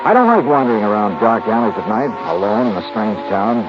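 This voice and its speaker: An American actor playing a tough, wry insurance investigator in a 1950s radio detective drama, clipped and hard-boiled. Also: male voice, fairly low pitch, expressive, brisk, noisy background, lightly acted. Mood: dry, wry. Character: tough, wry male insurance investigator narrating his case. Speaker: radio actor in the lead role. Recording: muffled 1950s radio recording with music.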